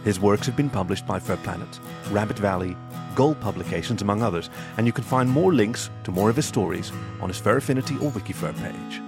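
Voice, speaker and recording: A man's voice: fairly low pitch, a little fast, vocal fry. A man giving a scripted introduction as the host of a story podcast. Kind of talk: scripted announcement. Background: plucked guitar music.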